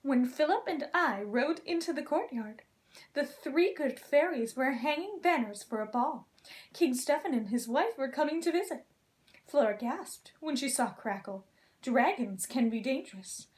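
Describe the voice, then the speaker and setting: High-voiced woman reading a storybook as a princess. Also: normal speed. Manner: theatrical, expressive, gentle princess persona. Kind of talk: children's storybook read-aloud.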